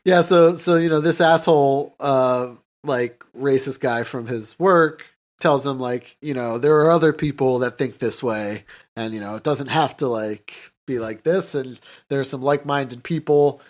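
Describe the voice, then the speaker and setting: A man's voice: mid-range voice, unhurried. Casual, sweary man recapping an old film. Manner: informal, sweary, paraphrases characters' lines offhand. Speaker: podcast co-host.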